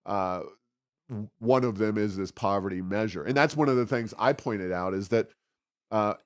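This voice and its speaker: A man's voice: lowish voice, normal speed, no room sound. Dry-sounding studio man, wry political commentary. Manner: wry, sarcastic asides. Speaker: co-host of a policy podcast.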